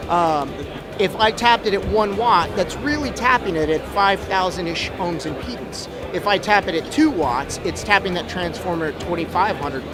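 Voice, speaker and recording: A middle-aged man giving a casual, step-by-step technical explanation as an expert guest in an interview. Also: a little high. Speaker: expert guest, audio trainer. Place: busy trade show floor. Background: music.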